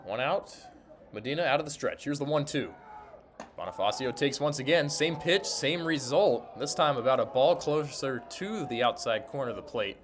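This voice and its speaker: Male radio play-by-play voice, mid-pitched, clipped descriptive delivery, light ballpark ambience. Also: lively intonation, normal speed, a little hiss or hum. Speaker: sports broadcaster, interviewer and play-by-play announcer. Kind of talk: baseball radio play-by-play commentary. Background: ambience of a sparsely filled ballpark.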